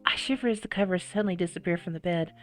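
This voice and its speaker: A woman's voice: lowish voice, rapid-fire, soft background music. A woman reading a visual novel aloud with dramatic expression and casual, giggly reactions.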